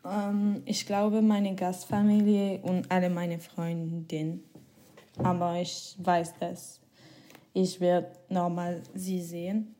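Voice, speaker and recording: Slow, halting young woman from Switzerland. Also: lowish voice, flat delivery, warm tone. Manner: halting learner's German, hesitant, giggly. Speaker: guest, former exchange student. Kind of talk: casual interview answers.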